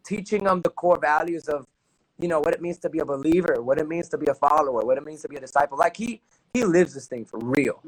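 A man's voice: a little high, quick pace, husky, low-quality recording. A man from New Jersey with a Dominican-influenced Jersey accent, talking casually as an interview guest, repeating himself for emphasis and teasing playfully. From New Jersey, USA.

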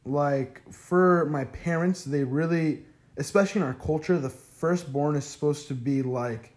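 A young man in his first year of college answers questions in a relaxed, talkative way, often leaning on "like." His voice is warm and mellow, and his first language is Arabic. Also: a little high, unhurried. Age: young adult. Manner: chatty, frequent "like" fillers. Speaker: interviewee, college freshman. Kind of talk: casual interview answers.

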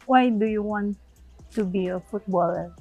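A woman conducting an interview, asking brief questions at a middle pitch and prompting with quick hums. Faint music plays behind her. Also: darkish tone, highs cut off. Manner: short prompts and backchannel hums.

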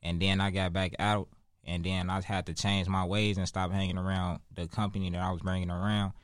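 Low-voiced young Chicago man, slangy and emphatic. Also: little pitch movement, brisk, clean tone, dry recording, studio quality. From Chicago, United States. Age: 20s. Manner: street slang, profane, emphatic repetition. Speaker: interview guest, rapper. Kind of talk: casual interview talk.

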